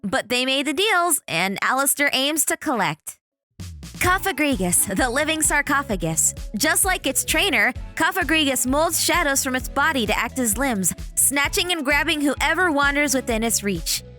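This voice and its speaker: A woman doing scripted narration for a video, with a dramatic, animated storytelling delivery. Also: sits high, normal speed, soft background music.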